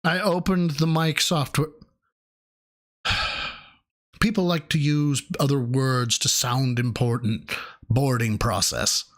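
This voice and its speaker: A man's voice: mid-range voice, slow pace, pauses often. A man doing profane, sarcastic reaction commentary on a clip, mocking the speaker and reading out viewer messages. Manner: sarcastic, swears a lot, mocking.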